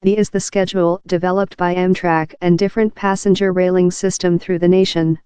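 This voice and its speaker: Flat, very clear narrator reading an article. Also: medium pitch, a little fast, no room sound. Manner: reading aloud, impersonal and uninflected.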